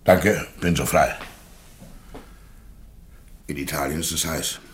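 A deep-voiced, courteous Germanic warrior. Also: male voice, steady tone, slow, slight background noise. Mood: genial, curious. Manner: polite, matter-of-fact, genial. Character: mild, courteous Germanic warrior chief, bookish and curious. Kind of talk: theatrical radio play dialogue.

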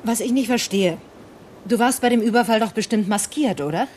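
Casting: actress as scornful doctor held captive, sarcastic and biting, fairly high voice, faint noise, radio drama. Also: female voice, fairly quick, darkish tone, natural voice. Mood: probing. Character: defiant, scornful female doctor held hostage.

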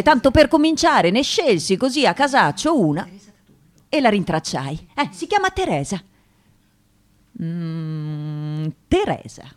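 An actress playing a thoughtful journalist who narrates a radio drama in a measured storytelling manner. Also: female voice, medium pitch. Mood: reflective.

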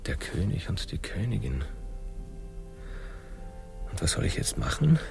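An actor playing a naive, eager young adventurer seeking favours in a satirical radio play, deferential and a little hesitant. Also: male voice, sits low, very animated, unhurried, creaky, music in the background, exaggerated voice. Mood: puzzled, hesitant.

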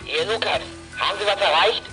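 An actor playing an urgent caller who sends clipped messages over CB radio in a children's audio drama. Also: male voice, high-pitched, fairly quick, music in the background. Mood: relieved, polite. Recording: noisy, crackling CB radio transmission.

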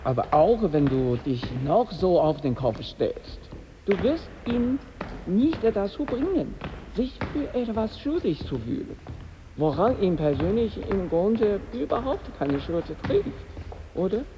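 Earnest male friend in a radio play, reproachful, pitch moves a lot, muffled sound. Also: very animated, measured pace, background noise. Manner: insistent, reasoning. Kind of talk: radio drama dialogue.

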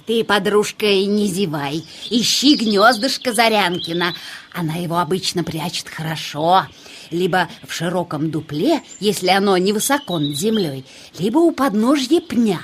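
A woman as a lively, bossy old-hand cuckoo. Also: mid-range voice, slight background noise, natural voice. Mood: bossy, urging. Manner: instructing, gossipy, emphatic. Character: experienced older female cuckoo, bossy knowing neighbour. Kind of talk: children's audio play acting.

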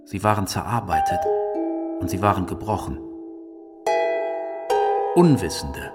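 A man narrating and reading quotations for a scripted radio documentary, measured and articulate. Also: low voice. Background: music.

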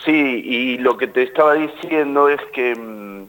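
A man's voice: mid-range voice, measured pace, fairly bright tone, poor audio. A man with an Argentine accent talking casually as an actor being interviewed, often repeating words as he forms his thoughts. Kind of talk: casual radio interview talk.

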